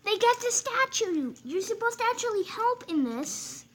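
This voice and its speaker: A woman voicing a small, bossy male toy engine who scolds in a shrill voice. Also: sing-song, moderate pace, a bit bright, full character voice. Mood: exasperated.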